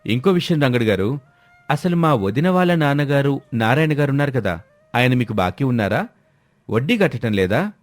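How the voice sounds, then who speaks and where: A man's voice: mid-range voice, big pitch swings, normal speed, no echo, voice pushed up, natural voice. A man playing an outraged younger son who questions people pointedly in a family story. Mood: pressing. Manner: probing, accusatory questioning. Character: outraged younger son exposing his scheming mother.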